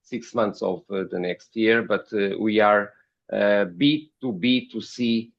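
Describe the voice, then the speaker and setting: A man with a Portuguese accent speaks slowly in a gravelly voice, hesitating and repeating words as he answers as an interview guest. The recording is dull and lo-fi. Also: lowish voice. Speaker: podcast guest, company founder.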